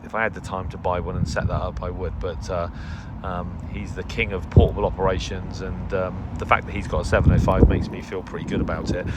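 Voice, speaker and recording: A middle-aged man giving a casual, unscripted update, rambling hesitantly into asides. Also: low voice. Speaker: radio hobbyist video host. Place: outdoors in a garden. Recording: outdoor ambient noise.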